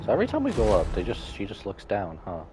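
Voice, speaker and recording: A man's voice: on the low side, wildly swooping pitch, fast. A man live-streaming casual, jokey reactions to a game, exclaiming and talking back to the characters. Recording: game music behind.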